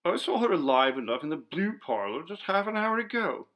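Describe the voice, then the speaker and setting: A man's voice: sits high, big pitch swings, a little fast, a bit bright, pitched above the performer's own voice. A man voicing an impatient, severe upper-class lady in an exasperated, imperious manner for a storybook reading.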